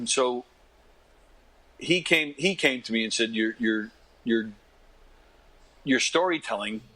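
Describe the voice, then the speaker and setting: An American man in his fifties, an interview guest, talking casually in a rambling, self-deprecating way and often clearing his throat. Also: mid-range voice, takes it slowly, sharp tone, low-quality recording. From Long Island, New York, United States. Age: 50s. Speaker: interview guest, actor and comedy performer. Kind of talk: casual conversational storytelling.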